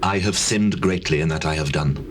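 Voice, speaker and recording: A man's voice: a bass voice, fairly quick. An actor playing a penitent, grave king of ancient Israel in a dramatized scripture, speaking in solemn, pleading archaic phrases. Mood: remorseful pleading. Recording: noisy old cassette tape.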